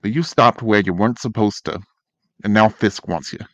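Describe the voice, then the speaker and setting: A man's voice: fairly low pitch, moderate pace, dry recording, lo-fi sound, lightly acted. A man voicing a blunt, streetwise gangster who talks in tough slang, in a fan comic dub. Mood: gruff. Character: streetwise male gangster, torn but decent.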